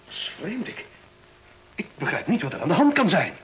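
An actor playing a pompous, stubborn official who blusters and falters when cornered, in a Dutch radio play. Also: male voice, high voice, wildly swooping pitch, normal speed, fairly bright tone, full character voice, rough recording. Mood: irritated, baffled. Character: pompous, stubborn official running an education experiment, easily rattled.

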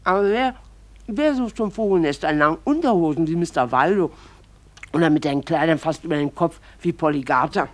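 An actress in a radio play as a gossiping, chattering village wife. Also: female voice, low-pitched, expressive, a little fast. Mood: gossipy.

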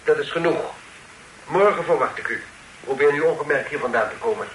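Man acting self-possessed gentleman on the run, slightly high voice, firm, formal, roomy old radio drama recording. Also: a little fast, crowd noise, lightly acted. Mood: cold, firm. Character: cool, self-possessed fugitive gentleman, shrewd and proud.

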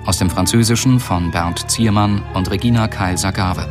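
Low guy reading out radio play credits real slow and formal, music under it. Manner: measured, matter-of-fact. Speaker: announcer.

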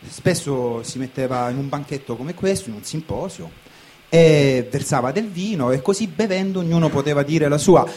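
A man in his late thirties delivering a conversational spoken theatre monologue, pausing and pressing on certain words. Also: a little high, a little mellow, lightly acted. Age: late 30s. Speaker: stage performer, writer. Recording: clearly noisy live recording.

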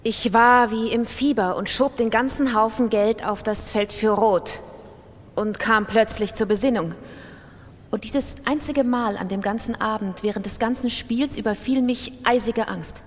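A woman with a standard German accent reading literary prose as a dramatic radio narration. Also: unhurried. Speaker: literary reader in a radio production. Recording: long reverb, faint rumbling noise.

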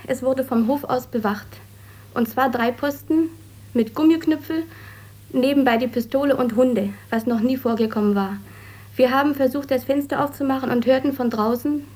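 A woman's voice: sits high, darkish tone. An East German woman giving an eyewitness account of her own ordeal in an interview. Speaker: refugee eyewitness. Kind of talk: recounting a personal ordeal in an interview.

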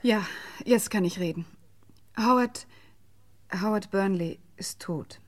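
An anguished woman in a sixties German radio crime play. Her medium-pitched voice is earnest and dramatic, confessing in halting, emotional phrases. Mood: shaken, hushed.

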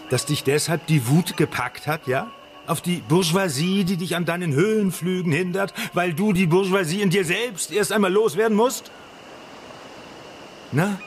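A man's voice: on the high side, lightly acted. An actor playing a blunt, insistent private investigator who questions his client in a German radio crime drama. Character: blunt, probing male private investigator, needling and businesslike. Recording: studio radio play with music underneath. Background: music.

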